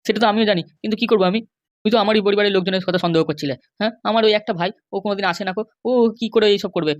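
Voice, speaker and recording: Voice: medium pitch, fairly even intonation, rapid-fire, no room sound, highs cut off, lightly acted. A performer voicing a compliant but grumbling, worried Bengali husband and father in a comedy cartoon, with a placating, hesitant manner. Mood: pleading. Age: middle-aged. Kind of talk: animated comedy cartoon dialogue.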